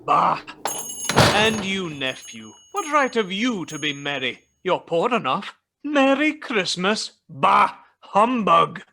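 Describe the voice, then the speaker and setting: A bitter old miser from a radio play, acted by a man, with a forceful, sharp voice pitched fairly high. He is curmudgeonly and sneering, brushing everyone off with open scorn. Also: very animated, takes it slowly, creaky, exaggerated voice. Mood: scornful, irritated.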